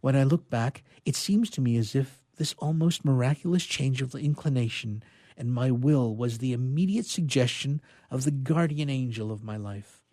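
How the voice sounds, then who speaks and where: Husky man reading aloud, dry sound. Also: mid-range voice, moderate pace, warm tone, no echo. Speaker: reader at a literary reading. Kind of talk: reading a classic novel aloud.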